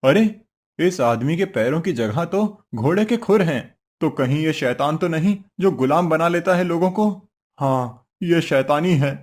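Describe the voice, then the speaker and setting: A man's voice: on the high side, expressive, measured pace, darkish tone. A man voicing a poor, simple woodcutter husband in a cartoon story, earnest, meek and fretful. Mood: worried. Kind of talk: Hindi cartoon story voice acting.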